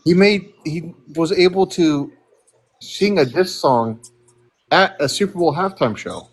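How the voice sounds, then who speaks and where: An American man in a casual online group chat who talks in an eager, excitable way. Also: fairly high pitch, measured pace, husky, rough recording. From United States.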